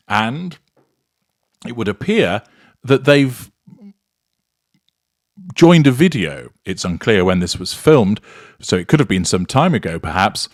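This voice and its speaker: A man's voice: mid-range voice, dry recording, studio quality. A man hosting a commentary show, delivering scripted sarcastic remarks in a mocking, drily theatrical manner.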